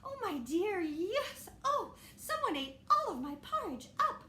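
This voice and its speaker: A woman's voice: very high voice, very animated, a little slow, bright, forceful tone, echoey room, slight background noise, pitched above the performer's own voice, heavily acted. A woman voicing a fussy mother bear who frets and repeats herself. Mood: fretting.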